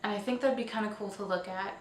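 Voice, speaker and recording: A woman's voice: mid-range voice, quick pace. A woman hosting a casual online show, talking informally and a little hesitantly as if thinking aloud. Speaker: host of a weekly online show. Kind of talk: casual scripted-outline vlog commentary. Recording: roomy, distant mic, faint noise.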